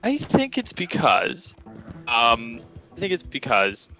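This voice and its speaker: Bright-voiced North American man chatting. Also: mid-range voice, wildly swooping pitch, takes it slowly, faint music behind. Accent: general North American. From Canada. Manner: rambling, hesitant with many fillers. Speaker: podcast guest. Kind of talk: casual chat.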